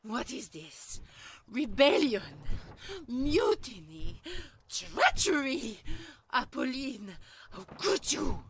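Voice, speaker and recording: A woman's voice: high voice, very animated, slow pace, a crowd in the background, exaggerated voice. A woman playing a proud, haughty griffin queen in a fantasy audio drama, with an imperious, theatrical, scornful manner. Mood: anguished, betrayed. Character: proud haughty griffin queen clinging to her gilded palace.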